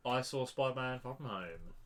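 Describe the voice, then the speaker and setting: A man's voice: medium pitch, lively intonation, moderate pace, fairly bright tone. A British man co-hosting a podcast with jokey, sarcastic, sweary banter. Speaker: podcast co-host. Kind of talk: casual banter.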